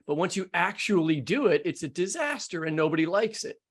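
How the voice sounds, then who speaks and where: Higher-pitched man, casual podcast host. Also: very animated, brisk. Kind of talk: casual opinionated discussion.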